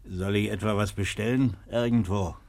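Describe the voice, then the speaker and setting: An actor playing a concerned, plain-spoken village neighbour in a German radio play, solicitous and stubbornly insistent, with a husky voice. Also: male voice, on the low side, fairly even intonation, normal speed, a little mellow, dry recording, natural voice. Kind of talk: German radio play, naturalistic dialect-coloured acting.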